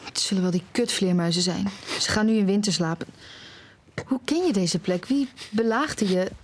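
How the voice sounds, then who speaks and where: A woman's voice: mid-range voice, normal speed, slight background noise, lightly acted. A woman playing an anxious, caring young student in an audio drama, hesitant and soothing in her speech. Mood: nervous, caring. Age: young adult.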